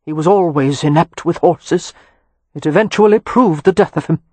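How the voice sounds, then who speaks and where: A haughty old Irish earl with an Anglo-Irish accent, as an audiobook reader puts him on, cold, commanding and dismissive. His voice sits a touch higher and louder than the reader's narration, with a husky edge. It sounds dry, with no room around it. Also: normal speed, no room sound, close to an everyday voice. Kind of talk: audiobook character reading.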